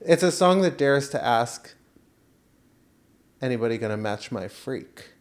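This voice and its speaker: A man's voice: medium pitch, expressive, unhurried. A man co-hosting a casual comedy chat, with a campy, playful, giggly manner and sibilant S sounds.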